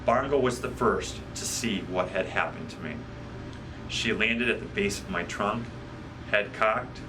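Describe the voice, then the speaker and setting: A man narrating a children's audiobook in a measured, deliberate way, recorded at a slight distance from the mic. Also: fairly low pitch, background noise. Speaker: guest storybook reader.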